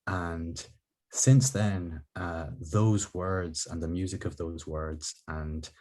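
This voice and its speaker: Man from ireland, very low voice, hesitant and reflective, lots of ums, interviewer on a video call from home. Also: steady tone, takes it slowly, darkish tone. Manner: hesitant, thoughtful, many ums and restarts. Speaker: podcast host. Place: living room at home.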